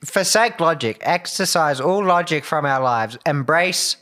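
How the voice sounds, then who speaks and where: A man's voice: on the high side, expressive, a little slow, sharp tone, voice pushed down. A man playing a delusional, chaos-loving wizard who rants and rambles dramatically in an improvised comedy roleplay. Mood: manic.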